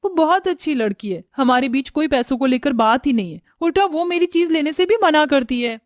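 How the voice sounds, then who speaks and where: A woman plays a young woman in a cartoon family tale, a devoted best friend. She gushes excitably in a high, dry-recorded voice. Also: fairly quick, no echo. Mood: defensive. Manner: excitable, gushing.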